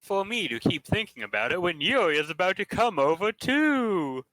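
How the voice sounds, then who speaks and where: A man's voice: high-pitched, big pitch swings, moderate pace, sharp tone, no room sound, poor audio. A man reading first-person narration aloud in a casual staged reading, in a playful way. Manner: playful, dropping jokey asides.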